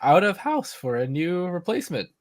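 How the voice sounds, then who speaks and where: A man's voice: high-pitched, sing-song, normal speed, dry recording, studio quality. A man talking casually as a co-host on an interview show. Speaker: podcast co-host. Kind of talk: casual chat.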